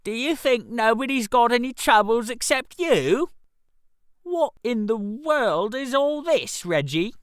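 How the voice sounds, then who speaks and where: A man's voice: extremely high pitch, expressive, unhurried, fairly bright tone, clean tone, dry recording, clean studio sound, exaggerated voice. A man voicing a lovelorn, irritable upper-class young Englishman in a storybook reading, grumbling and aggrieved. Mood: exasperated.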